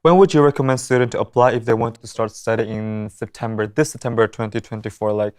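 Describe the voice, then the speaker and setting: A man's voice: medium pitch, steady tone, quick pace, a little mellow, no room sound. Young Indonesian-accented man hosting, dry sound. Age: young adult. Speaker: student host. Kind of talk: talk show hosting.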